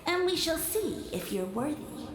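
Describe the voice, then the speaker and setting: A woman's voice: high-pitched, sing-song, unhurried. A woman voicing a pompous, easily offended mushroom sage in a video game, with grandiose, disdainful speech. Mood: grandly condescending. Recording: echoing voice over loud game music.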